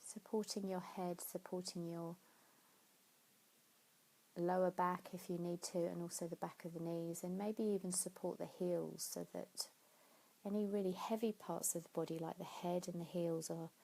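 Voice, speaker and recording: Low, mellow woman guiding meditation. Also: level tone, gravelly, poor audio. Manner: soothing, unhurried, pausing between phrases. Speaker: yoga nidra meditation teacher. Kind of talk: guided sleep meditation.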